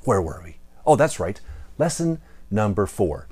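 A man's voice: lowish voice, very animated, a little slow, darkish tone, breathy edge. An American man in his fifties presenting a playful storytelling lesson with theatrical, emphatic delivery. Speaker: presenter of property management lessons. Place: indoor studio in front of a backdrop. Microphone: lapel mic.

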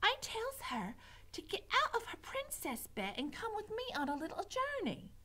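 A dim but eager young serving girl from a storybook. Her voice jumps very high and bright, with blunt, uneducated phrasing and big ambition. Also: huge pitch swings, moderate pace, exaggerated voice. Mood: gleeful. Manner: blunt, uneducated, ungrammatical speech. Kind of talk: storybook character voice.

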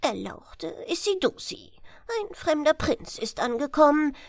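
A woman's voice: high-pitched, sing-song, a little slow, no room sound, voice pushed up, heavily acted. A woman voicing a chivalrous male larkspur knight, a solemn and ceremonious palace guard in a fairy-tale storybook. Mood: formal reporting.

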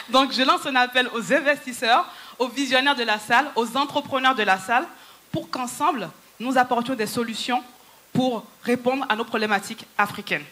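A woman's voice: high voice, moderate pace, pushed and bright. A woman in her thirties, Yoruba her first language, giving a rallying stage talk to a conference audience on a handheld microphone in a large hall. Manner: persuasive, rallying, addresses the audience directly. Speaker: entrepreneur and speaker.

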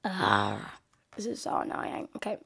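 A woman's voice: deep voice, very animated, a little slow, creaky, sharp tone, breathy edge. A young woman with a cold hosting a casual coding tutorial from her desk, hesitant and full of ums and self-corrections. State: has a cold, sniffling. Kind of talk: casual screen-recorded tutorial.

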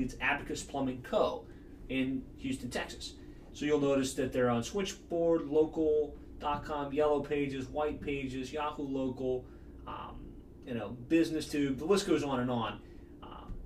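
A man in his thirties giving a brisk, confident screen-share tutorial as a marketing consultant, recorded in a small office. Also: medium pitch, far from the mic, slight background noise. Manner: brisk and confident, explaining step by step. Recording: echoey room, voice a bit off-mic.

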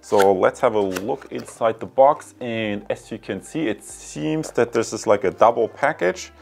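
A man in his thirties with a German accent, giving a casual, halting product review and unboxing to viewers. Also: lowish voice, creaky, soft background music. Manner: hesitant, halting, addresses viewers as guys.

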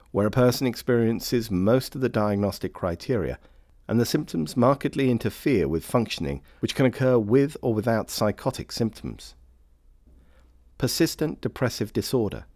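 A man reading scripted informational narration for a training film in a measured, formal manner. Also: fairly low pitch, a little mellow, no room sound, studio quality. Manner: measured, formal, clearly phrased.

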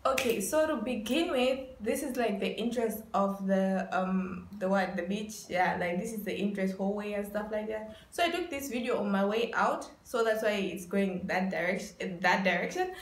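A woman's voice: mid-range voice, normal speed, hardly any pauses. A woman in her twenties narrating a casual vlog in a chatty way, leaning on repeated words for emphasis, recorded indoors in a small room. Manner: chatty, repetitive emphasis with frequent "like". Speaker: vlogger. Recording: roomy echo.

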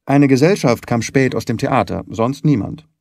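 A man's voice: medium pitch, normal speed, a little mellow, no room sound, clean studio sound, close to an everyday voice. A man voicing a deferential, plain-spoken hotel porter who answers briefly and respectfully in an audiobook. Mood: deferential, matter-of-fact. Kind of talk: audiobook character dialogue.

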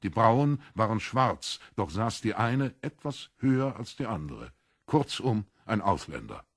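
A man's voice: fairly low pitch, sing-song, measured pace, no room sound, clean studio sound. A man reading scripted literary narration for a German audio drama.

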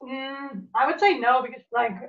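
Higher-voiced Canadian woman on muffled call. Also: normal speed. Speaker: band musician being interviewed. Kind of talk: casual interview chat. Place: room at home. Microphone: video call. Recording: muffled, lo-fi video call audio.

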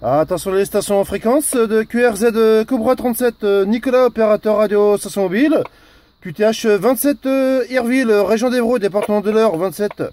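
A man making halting, formulaic calls as a radio operator, repeating himself in a procedural style. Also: high voice, darkish tone. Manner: repetitive, halting, formulaic announcing. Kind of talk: CB radio calling, formal procedural hailing.

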